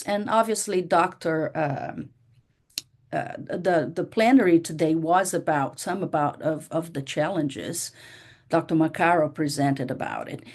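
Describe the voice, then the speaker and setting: A woman whose first language is Portuguese, giving a conversational workshop talk to teachers, with frequent fillers and restarts. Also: lowish voice. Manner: conversational, many "you know" fillers and restarts. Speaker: workshop presenter.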